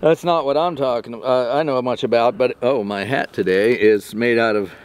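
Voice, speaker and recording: A man's voice: medium pitch, normal speed, a little hiss or hum. An older man, a mushroom club member, chatting casually on a forest walk in a rambling way, recorded outdoors by a nearby camera mic. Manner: rambling, chatty, acts out calls in a raised voice.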